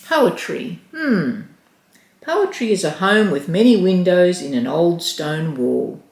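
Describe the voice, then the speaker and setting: A woman voicing a small, thoughtful chipmunk in a storybook who muses and pauses to think. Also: lowish voice, lively intonation, darkish tone, recorded from a distance. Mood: musing. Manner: musing, pausing to think. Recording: roomy echo.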